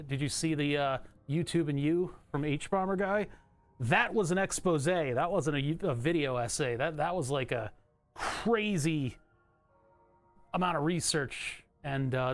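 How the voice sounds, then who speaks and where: A man streaming from his home setup, chatting casually in a rambling, self-interrupting way with a bright, trebly voice. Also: a little high.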